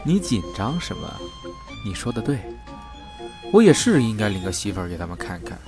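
A man's voice: fairly low pitch, big pitch swings, fairly quick, over music. A man playing a confident, teasing young police detective in an audio drama. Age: young adult.

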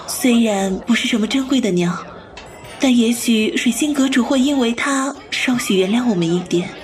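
A woman playing a gentle, mysterious young wife at a food stall in a Chinese audio drama, soft-spoken and courteous. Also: fairly high pitch, moderate pace, soft background music, close to an everyday voice. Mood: apologetic, gentle.

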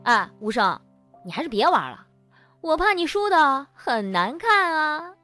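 Woman acting lively, high-voiced teasing teen. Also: a little slow, soft background music, full character voice. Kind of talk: Chinese audio drama acting.

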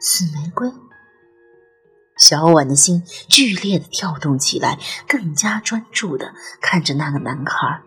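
Animated woman narrating an eerie ghost story. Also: deep voice, unhurried, hardly any pauses, breathy edge, faint music behind. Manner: expressive, suspenseful storytelling. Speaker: audiobook narrator. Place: studio recording with music behind.

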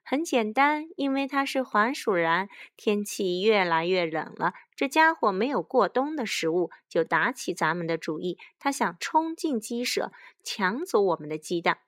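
A woman's voice: medium pitch, big pitch swings, normal speed, hardly any pauses, no room sound, clean studio sound, natural voice. A woman voicing a caring, protective mother hen in a children's story, speaking earnestly. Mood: indignant, explaining.

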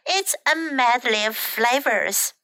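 A woman's voice: on the high side, expressive, slow pace, pushed and bright, no echo, exaggerated voice. A woman voicing cheerful, generous woodland animals in a children's storybook, friendly and obliging. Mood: eager, friendly.

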